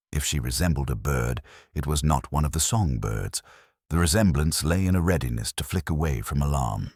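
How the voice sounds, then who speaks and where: A man narrates literary fiction in a very deep voice with a husky grain. His delivery is formal and measured, with lively intonation. The sound is dry and close, free of room. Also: no room sound. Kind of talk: scripted literary audiobook narration.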